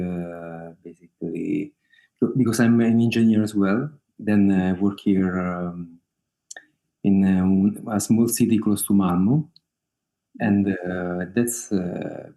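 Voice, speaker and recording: A man's voice: sits low, dark tone. A man in his thirties from Italy with an Italian accent, talking over a video call as an interview guest, hesitant with many fillers and frequent laughs. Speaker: guest singer-songwriter.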